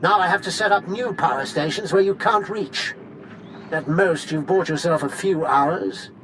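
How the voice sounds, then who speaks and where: An actor playing a gloating, sadistic Sith villain in a video game, taunting with theatrical, mock-polite menace. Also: male voice, fairly high pitch, normal speed, a bit bright, slight background noise, close to an everyday voice, rough recording. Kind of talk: video game cutscene acting.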